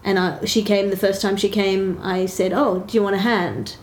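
An Australian woman talks in relaxed conversation, careful and reflective, hedging her ideas as she builds them. Her voice sits at a middle pitch and bounces around a roomy space. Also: normal speed, slight background noise. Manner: thoughtful, qualifying her points. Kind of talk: casual chat. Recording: roomy echo.

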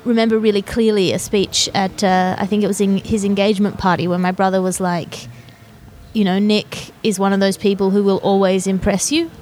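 A woman with an Australian accent hosts a podcast, speaking articulately with quick, self-deprecating asides. Her voice sits at a middling pitch for a woman. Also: a little mellow, a little hiss or hum. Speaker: podcast host, comedian. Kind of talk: podcast conversation.

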